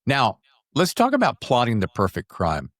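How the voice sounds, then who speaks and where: A man's voice: mid-range voice, wildly swooping pitch, normal speed, a bit bright, no room sound, studio quality. A man hosting a casual interview podcast, chatty, with rambling lead-ins to his questions. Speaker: podcast host interviewing an author.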